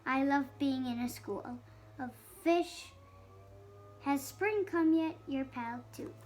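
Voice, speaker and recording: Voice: sits high, slow, soft background music. A child of about seven or eight reading a picture book aloud, stumbling now and then on harder words. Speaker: young reader.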